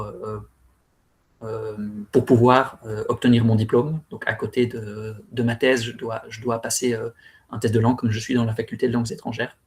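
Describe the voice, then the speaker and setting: A man's voice: mid-range voice, darkish tone. A man in his thirties from Belgium giving an online talk about his experience, with many hesitations and restarts. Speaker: speaker sharing experience.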